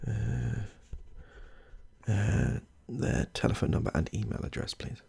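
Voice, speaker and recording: A British man playing a polite, chatty therapist in a gentle, soft-spoken ASMR roleplay. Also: on the low side, big pitch swings, a little slow, gravelly, breathy edge, exaggerated voice. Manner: gentle, reassuring, murmuring small approvals. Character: polite, chatty, slightly odd male therapist greeting a client.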